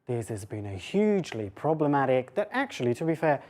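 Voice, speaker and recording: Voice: medium pitch, sing-song, brisk, darkish tone. A woman lecturer giving an academic public talk, mixing scholarly phrasing with informal asides.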